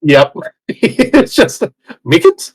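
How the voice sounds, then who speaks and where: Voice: medium pitch, huge pitch swings, very slow, husky. A game streamer talking casually and commenting on the game in between reading its dialogue aloud. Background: video game music.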